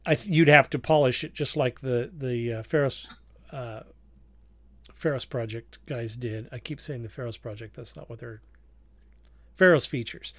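A man's voice: mid-range voice, moderate pace, no room sound. A man hosting a casual review discussion, feeling his way through his points in a hesitant, circling way. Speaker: podcast host.

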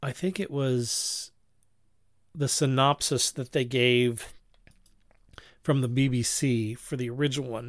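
A man's voice: mid-range voice, dry recording. Man chatting casually in a dry close recording. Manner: halting, rambling, self-correcting delivery; chuckles while talking. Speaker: podcast host. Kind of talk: casual review chat.

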